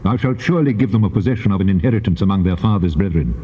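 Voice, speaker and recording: A low, mellow male voice of God rings out in long cavernous reverb. He declaims scripture with solemn, measured authority. The old tape sound is dull and muffled. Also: expressive. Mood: solemn, commanding. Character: the Lord God, solemn commanding male deity. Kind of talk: dramatized scripture reading.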